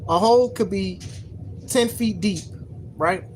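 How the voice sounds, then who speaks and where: Podcast host, 30s, mid-pitched, casual rambling takes, slangy and repetitive. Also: measured pace, a little hiss or hum. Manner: rambling, repeats words, slangy. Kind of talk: casual opinion commentary.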